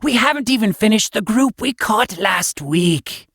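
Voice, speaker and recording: A sly serpent woman of fantasy audiobook fiction, acted by a man, speaks high above a normal male voice. She stretches her words with smooth, threatening politeness. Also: expressive, measured pace, a bit bright, no room sound, clean studio sound, heavily acted. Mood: scolding. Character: female snake-woman naga, sly, menacing mother.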